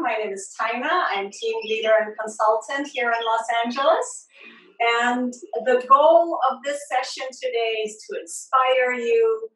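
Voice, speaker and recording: A woman in her forties hosting a cooking class with an animated, enthusiastic presenting manner. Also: a little high. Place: home kitchen. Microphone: device mic a few feet away. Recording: echoey kitchen, distant mic.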